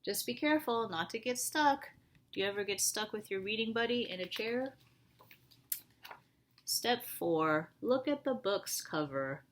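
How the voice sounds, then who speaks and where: A woman reading a picture book aloud to young children in an animated way, often asking them questions. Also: mid-range voice, unhurried.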